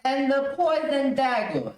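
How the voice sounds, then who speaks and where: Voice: high voice, very animated, measured pace. An actor playing an imperious, stern rebel prince in an old radio serial, declaiming commands. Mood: stern, commanding. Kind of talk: 1930s radio serial acting. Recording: echoey room, distant microphone.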